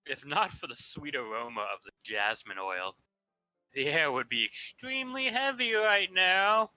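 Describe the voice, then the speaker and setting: A man reading out a story game's narration with a bright, sharp tone. His pitch swings freely as he plays along, and the sound is dry and close. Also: unhurried, no room sound, low-quality recording. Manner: lively, playful narration with asides. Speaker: narrator reading the game text. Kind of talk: impromptu staged reading.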